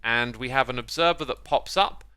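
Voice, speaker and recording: A man's voice: medium pitch, moderate pace, pushed and bright, clear, resonant tone, no room sound. A man hosting a gaming video, chatting casually and explaining a build step by step. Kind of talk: casual chat while playing and explaining a game build.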